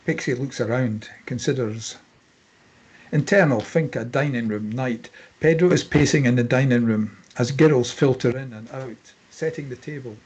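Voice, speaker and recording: A man's voice: medium pitch, normal speed, darkish tone, slightly rough, rough recording. A man reading screenplay stage directions as scripted narration, in clipped phrases.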